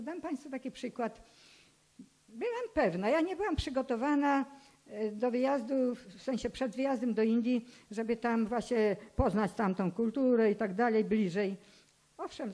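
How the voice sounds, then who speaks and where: An elderly woman in her eighties speaks in a somewhat high voice. She gives a personal testimony to a hall through a stand microphone. Her manner is earnest and admonishing, and she repeats phrases deliberately to press her point. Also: darkish tone. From Poland. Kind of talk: public talk, personal testimony. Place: indoor hall with stage and seated audience. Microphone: microphone on a stand, close to the mouth.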